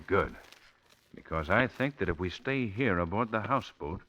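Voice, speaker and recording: An actor playing an inquisitive, well-spoken gentleman sleuth with an assured manner in a 1940s radio drama. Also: on the low side, animated, normal speed, dull audio. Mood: calm. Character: composed, inquisitive gentleman sleuth, bold adult man. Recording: noisy old radio recording.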